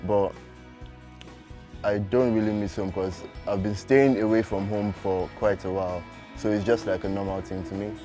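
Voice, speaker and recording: Man from Nigeria, low relaxed voice. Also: moderate pace, soft background music. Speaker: international student. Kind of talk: interview answers.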